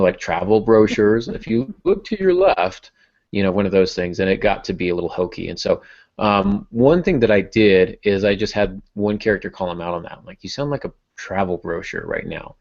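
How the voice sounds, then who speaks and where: A man's voice: on the low side, lively intonation, moderate pace, a little mellow, dull audio. A man hosting a writing podcast in casual talk, with a self-deprecating, joking manner. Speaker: podcast host and fiction writer.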